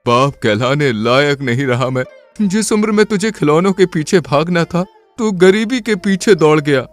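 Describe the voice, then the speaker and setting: A man's voice: a little high, animated, normal speed, faint music behind, lightly acted. A man voicing a struggling, poor village potter and father in a cartoon story who speaks heavily, full of despair. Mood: ashamed. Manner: heavy, despairing.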